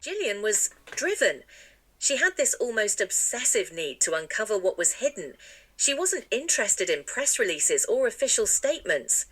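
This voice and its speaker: A woman's voice: medium pitch, sing-song, normal speed, a bit bright, slightly rough. A woman playing an earnest, emphatic interviewee giving testimony in a mystery audio drama. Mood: earnest, admiring. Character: earnest woman interviewee recalling an elusive journalist. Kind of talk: acted documentary testimony.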